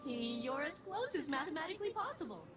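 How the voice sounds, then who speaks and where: An analytical scientist in a video game scene. She explains things matter-of-factly in a slightly high, animated voice, with loud music behind her. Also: a little fast.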